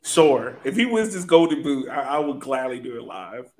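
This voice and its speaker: A man's voice: mid-range voice, very animated, normal speed, crowd noise. A man hosting a casual sports podcast, chatting in a playful, teasing way. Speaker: podcast host.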